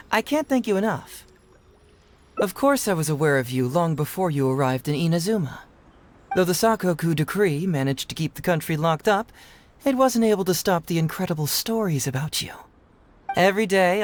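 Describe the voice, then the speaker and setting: An actor voicing a playful, self-assured young detective in a video game, chatty and theatrically flattering. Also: male voice, sits high, a little hiss or hum. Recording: faint music behind the voice.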